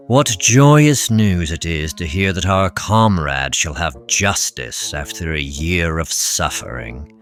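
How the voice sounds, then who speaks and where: A man's voice: low voice, exaggerated voice. A man playing a sardonic, mocking knight in an audio drama, with sly and theatrical mock courtesy. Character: Sir Kay, sardonic mocking male knight.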